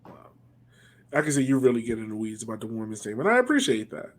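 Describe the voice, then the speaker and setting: A man's voice: medium pitch, sing-song, fast talker. A man hosting a casual podcast interview, rambling a little and rewording his questions as he goes.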